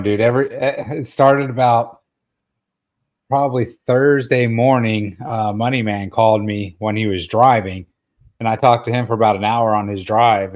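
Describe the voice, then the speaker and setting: Informal man hosting a casual livestream. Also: medium pitch. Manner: informal, slangy. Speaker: live show host.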